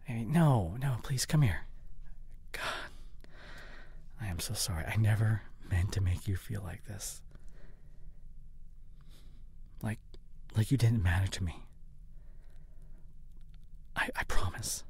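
A man playing an apologetic, guilt-ridden boyfriend in an intimate audio roleplay, halting and breathy, often near a whisper, close on the microphone. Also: lowish voice, darkish tone, heavily acted. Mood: pleading, upset. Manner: halting, stammering, earnest pleading. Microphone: close studio condenser microphone.

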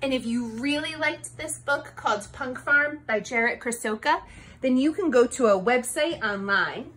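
A woman in her thirties reading a picture book aloud to young children in an expressive, engaging way. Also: high voice, normal speed. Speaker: storybook reader for children. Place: small living room, indoors on a couch.

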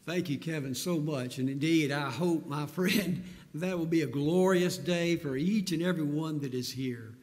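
A middle-aged male pastor earnestly pleading with his congregation from the pulpit, recorded on a pulpit microphone in a large church sanctuary. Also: fairly high pitch. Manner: earnest, pleading appeals with pauses. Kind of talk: sermon invitation and prayer. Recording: long sanctuary reverb.

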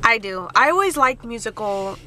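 A young woman in her twenties, chatting casually as a vlogger from a car's passenger seat into a phone held at arm's length, relaxed and chatty with frequent ums. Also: a little high, normal speed. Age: young adult. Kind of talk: casual chat. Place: parked or moving car, passenger seat. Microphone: phone camera at arm's length. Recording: car noise in background.

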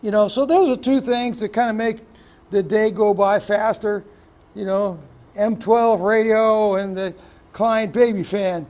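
A man hosting a casual how-to video, talking in a relaxed, chatty way with many "uh"s and "okay"s while working off screen in a house under renovation. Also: high voice, unhurried. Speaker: electrician and video host.

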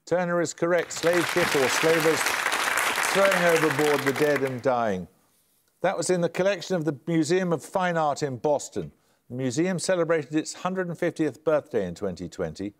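Crisp quiz host, slightly high. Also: male voice, lively intonation, moderate pace, a faint crowd, slight background noise. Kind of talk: reading quiz questions. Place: television studio.

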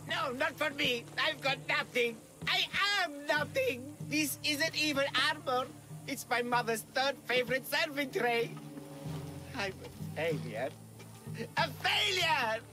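A man voicing a hapless, cowardly villager who poses as a monster slayer, theatrical, bumbling and excitable, in a video game. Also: extremely high pitch, slow pace, over music, full character voice, low-quality recording. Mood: desperate, panicked. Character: hapless, melodramatic, cowardly male villager posing as Minotaur slayer. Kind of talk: video game character acting.

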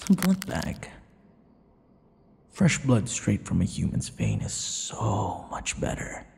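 A man playing a possessive, sadistic yandere vampire in an intimate ASMR roleplay, taunting and slipping into breathy whispers. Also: fairly low pitch, a little mellow, exaggerated voice. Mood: condescending, coaxing. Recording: long hall-like reverb.

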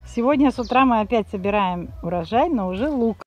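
A woman's voice: fairly high pitch, lively intonation, a little fast, muffled recording, loud music behind the voice. Chatty woman touring her garden. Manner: chatty, homely, with loving diminutives. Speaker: vlogger showing her garden. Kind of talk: casual vlog commentary. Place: outdoor rural garden and yard. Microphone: phone camera held while filming.

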